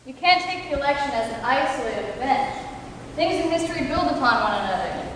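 A woman's voice: high voice, expressive, brisk, fairly bright tone. A young woman acting an opinionated, sarcastic student in amateur stage dialogue. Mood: insistent. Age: young adult. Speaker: student performer in a reader's theater. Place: large indoor hall, from a distance. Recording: distant mic in echoey hall, faint noise.

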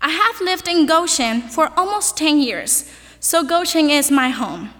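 Young woman, high voice, personal and a bit nervous, in a reverberant hall. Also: expressive, unhurried, fairly bright tone. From Venezuela. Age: young adult. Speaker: graduating student speaker. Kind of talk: personal prepared speech to an audience. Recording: echoey hall with long reverb.

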